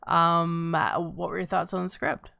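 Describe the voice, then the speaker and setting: Woman, slightly low pitch, casual livestream host, conversational delivery, dry sound. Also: level tone, a little slow, creaky, bright, forceful tone, dry recording. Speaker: host of live script table read.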